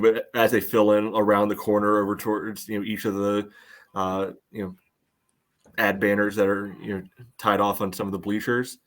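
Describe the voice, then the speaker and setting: A man co-hosting a sports podcast, chatting casually with halting phrasing full of filler words. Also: on the low side. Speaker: podcast co-host.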